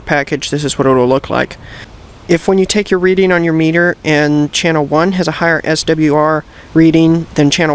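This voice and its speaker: A man giving a hands-on tutorial, explaining things step by step in a plain, methodical way. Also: fairly high pitch. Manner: plain, methodical instructional delivery. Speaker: presenter of a how-to video. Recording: clear background noise, vehicle ambience.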